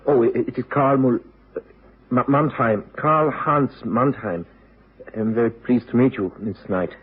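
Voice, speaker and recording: A young Austrian musician in need of lodging in a radio drama. He is courteous, formal and halting, with a very hoarse, breathy voice on a tinny old broadcast. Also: medium pitch. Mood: grateful, halting. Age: young adult. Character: desperate, polite young Austrian male violinist seeking lodging.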